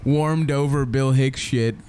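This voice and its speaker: A young comedian man spins a rambling, foul-mouthed story on a casual podcast. He keeps stopping to laugh at his own tale, his voice sitting at an ordinary middle pitch. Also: fairly even intonation, measured pace, a little mellow, a little hiss or hum.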